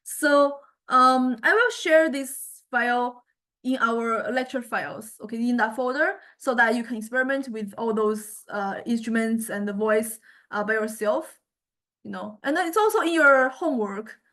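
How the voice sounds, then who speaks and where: A woman with a fairly high voice teaches as a lecturer, walking through software in an informal way. She speaks haltingly, thinking aloud between steps. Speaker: music lecturer.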